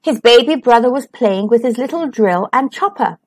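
A woman's voice: on the high side, expressive, moderate pace. A woman hosting a storytime, reading a children's picture book aloud. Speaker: storytime host and narrator.

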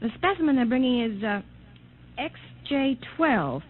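A guarded lady scientist, kinda high voice, formal and hesitant, tinny 50s radio play. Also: sing-song, a little slow, slightly rough, heavily acted. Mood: brisk, composed. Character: guarded, breathless young woman geneticist professor. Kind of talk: 1950s radio drama acting. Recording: noisy, muffled old-time radio recording.

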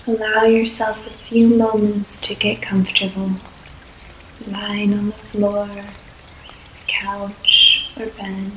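Very slow soothing woman guiding relaxation. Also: mid-range voice. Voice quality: soft, soothing, unhurried. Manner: long pauses between short phrases. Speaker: yoga nidra guide. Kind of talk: guided relaxation meditation. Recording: echoey room, faint background noise.

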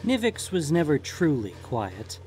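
A man's voice: on the high side, huge pitch swings, measured pace, darkish tone. A man reading scripted fantasy fiction as the narrator of an audio story.

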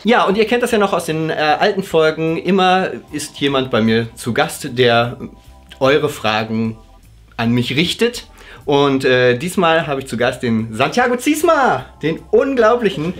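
A man in his thirties from Germany hosting a relaxed question-and-answer chat in a living room, talking casually and laughing often. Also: fairly high pitch, a little slow, faint music behind. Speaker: host.

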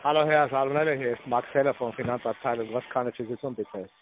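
A man working as a finance agent on the phone, speaking haltingly in broken, non-native German phrasing. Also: medium pitch, a little hiss or hum. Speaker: finance department agent at a call centre. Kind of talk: phone sales talk. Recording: tinny narrow phone line.

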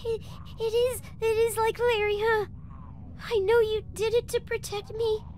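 A woman's voice: extremely high pitch, level tone, moderate pace, a little mellow, soft background music. A woman playing a frightened, grieving but brave little girl, tearful, in a dramatic video game. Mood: tearful, reluctant.

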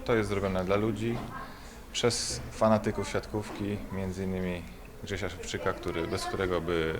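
A man's voice: sits low, flat delivery, normal speed. A man speaking informally as a guest in a promotional interview. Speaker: guest being interviewed at an opening. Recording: crowd chatter behind voice.

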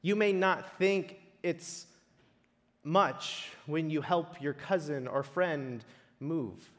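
A man preaching a sermon in a measured way, leaning on emphatic repetition. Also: a little high, fairly bright tone. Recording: long reverberant hall echo.

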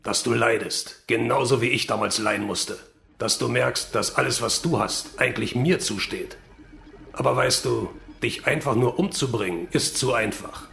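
A man playing a bitter, gloating villain in a radio drama, deliberate and taunting. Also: medium pitch, vocal fry, a bit bright. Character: bitter, gloating villain, vengeful disowned son.

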